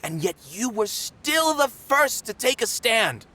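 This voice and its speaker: A man voicing an earnest, dutiful theater manager in a video game, speaking in a measured, formal way. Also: very high-pitched, very animated, sharp tone, a little hiss or hum, full character voice. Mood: admiring, solemn. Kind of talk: dramatic game dialogue.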